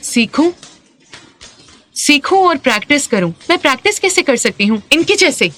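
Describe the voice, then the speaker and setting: A woman's voice: high-pitched, sing-song, normal speed, a bit bright. A woman voicing an arrogant, defiant young martial arts champion, the coach's daughter, in a dubbed drama, haughty and challenging. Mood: defiant, scornful. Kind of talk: dubbed screen drama dialogue.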